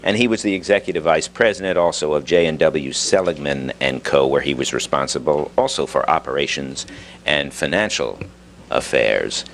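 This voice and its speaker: Male event moderator, extremely deep voice, formal introductions, live room recording with faint noise. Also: moderate pace, fairly bright tone. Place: live conference with podium.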